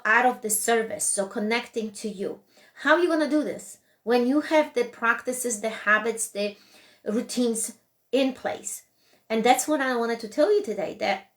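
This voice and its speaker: A woman with a Polish accent hosting a live motivational talk, her voice sitting somewhat high. She speaks English as a second language, earnest and repetitive, often stopping to restart a word. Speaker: host and coach.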